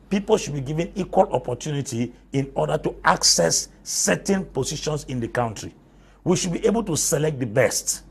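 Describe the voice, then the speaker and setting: A man's voice: mid-range voice, a little mellow, slightly rough. A man speaking as a panelist on a TV debate, emphatic, with rhetorical questions and long lists. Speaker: panelist, apostle.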